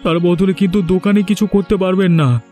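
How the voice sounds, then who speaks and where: A man's voice: high-pitched, steady tone, a little fast, warm tone, faint music behind, close to an everyday voice. A man playing a principled, defiant young village man in a cartoon drama, speaking with firm challenge. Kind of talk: Bengali cartoon drama dialogue.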